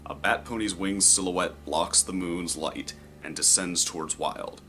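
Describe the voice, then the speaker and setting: A man reading scripted story narration in a measured, descriptive way. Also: deep voice, pushed and bright, soft background music.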